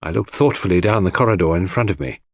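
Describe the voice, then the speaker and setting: A man's voice: deep voice, fast talker, dry recording. A man reading a classic mystery as scripted audiobook narration.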